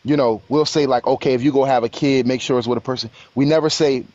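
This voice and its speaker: A man's voice: medium pitch, fairly even intonation, fast talker, darkish tone, no room sound, muffled recording. A man in his late twenties on a live stream panel, explaining his views earnestly with examples and frequent restarts. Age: 20s. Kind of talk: casual panel talk. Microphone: live stream call.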